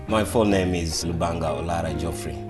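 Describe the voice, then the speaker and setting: Podcast guest: man, 30s, from northern Uganda, low voice, soft-spoken and plain. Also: fairly quick, over music. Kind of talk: casual interview chat.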